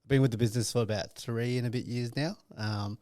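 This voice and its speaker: A man's voice: medium pitch, fairly even intonation, fast, mellow, dry recording, clean studio sound. A man talking casually as an interview guest, in a loose conversational way with hedging phrases. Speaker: company sales and partnerships head, podcast guest.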